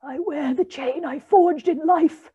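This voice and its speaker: A woman's voice: high voice, unhurried, lo-fi sound, pitched above the performer's own voice. A woman voicing the anguished ghost of an old businessman, weighed down by chains, wailing and drawing out her words. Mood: mournful.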